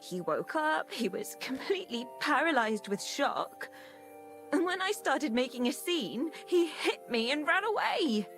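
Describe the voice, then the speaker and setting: High voice, lively intonation, bossy female schemer, coarse and conspiratorial, dramatic video game acting, music behind. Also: unhurried, heavily acted. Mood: scheming. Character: scheming, foul-mouthed, bossy young woman plotting blackmail.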